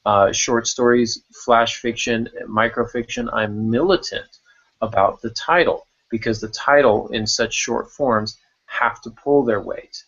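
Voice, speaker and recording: A man's voice: mid-range voice, fairly even intonation, unhurried, a bit bright, lo-fi sound. A man hosting a writing podcast in casual unscripted talk, thinking aloud and repeating words as he goes. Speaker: podcast host discussing writing craft.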